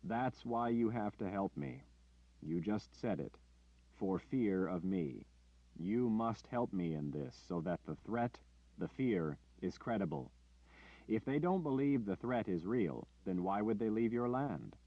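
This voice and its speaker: Guy reading a forceful warrior leader, urgent and emphatic, kinda muffled audio. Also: lowish voice, lively intonation, measured pace, no echo, pitched above the performer's own voice. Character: commanding male warrior leader, intense and urgent. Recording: dull dry lo-fi audio.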